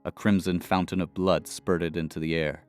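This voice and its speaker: A man narrating a scripted fantasy adventure in a dramatic, measured storytelling manner. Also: sits low, flat delivery, soft background music.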